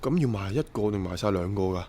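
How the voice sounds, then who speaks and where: A man's voice: fairly low pitch, lively intonation, quick pace, warm tone. An actor playing a naive but drily sceptical young man in a radio drama, with a Hong Kong accent and casual, plain-spoken delivery. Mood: bewildered protesting.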